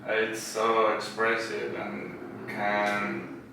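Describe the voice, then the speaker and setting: Echoey, slow-talking Argentine man. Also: lowish voice, completely flat delivery. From Buenos Aires, Argentina. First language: Spanish. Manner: hesitant phrasing in non-native English. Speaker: podcast guest, tattoo artist. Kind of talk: casual interview chat. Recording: roomy echo, distant mic, faint noise.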